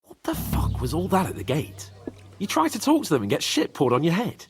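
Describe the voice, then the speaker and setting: A man's voice: on the high side, wildly swooping pitch, a little fast, faint music behind. An actor playing a hot-tempered, sarcastic young nobleman down on his luck in a medieval video game. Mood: sarcastic, exasperated. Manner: sarcastic, swearing, griping. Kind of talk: video game cutscene acting.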